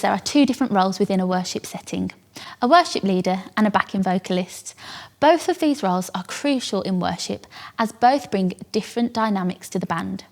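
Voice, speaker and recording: A young woman with a British accent gives a calm, earnest and encouraging scripted talk as a church worship vocal coach. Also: medium pitch. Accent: British English.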